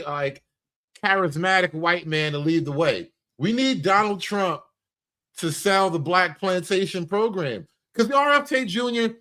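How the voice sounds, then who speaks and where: Animated man riffing in a higher voice. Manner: animated, profane, mock-enthusiastic, repeats phrases for emphasis. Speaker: livestream host and commentator. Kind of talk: casual satirical riffing commentary.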